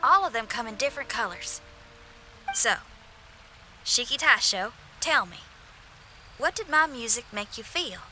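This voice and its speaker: A woman's voice: on the high side, animated, unhurried, long pauses, bright, forceful tone, soft background music, heavily acted. A woman voicing a confident, free-spirited young musician in a video game, with a breezy, assured way of talking. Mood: intrigued.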